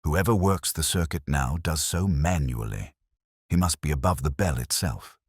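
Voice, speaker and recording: A man voicing a shrewd, commanding Victorian detective in a story, calm, grave and deliberate. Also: very deep voice, husky, dry recording, studio quality. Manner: terse, deliberate, authoritative.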